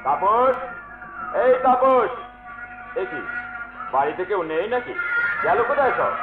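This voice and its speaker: Casting: scheming, lecherous folk-theatre villain, drawn-out leering delivery, dull recording under loud music. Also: male voice, very high-pitched, animated, roomy sound. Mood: calling out. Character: lecherous, scheming villain, a man who runs a hotel. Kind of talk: Bengali jatra folk-theatre acting.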